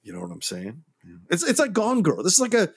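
A man co-hosting a film podcast, talking in an animated, emphatic way with quick agreeing interjections. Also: high-pitched, husky. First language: English, grew up with Spanish too. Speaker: podcast co-host. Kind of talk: casual film discussion.

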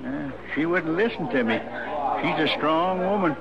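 An actor playing a hesitant, henpecked American circus husband, apologetic and rustic, in a 1950s radio western. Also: male voice, a little high, expressive, moderate pace, creaky. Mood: nervous, sheepish. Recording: dull radio recording, crowd behind.

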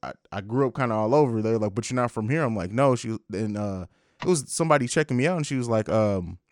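A young man hosting a casual podcast, swearing freely and telling animated stories. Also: medium pitch, fast, no echo, clean studio sound. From grew up on US military bases in Italy. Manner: animated storyteller, laughs often. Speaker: podcast host.

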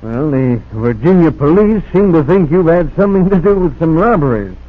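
An actor playing a brisk, plain-spoken small-town police captain in a 1940s radio drama. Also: male voice, on the high side, lively intonation. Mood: businesslike, puzzled. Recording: tinny, narrow-band vintage radio recording.

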